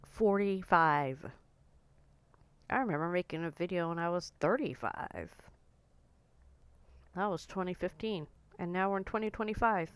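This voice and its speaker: A woman in her forties talking casually to camera in a blunt, outspoken way, her voice a little croaky and hoarse. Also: on the low side, unhurried, pauses often, no echo. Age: 40s. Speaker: vlogger.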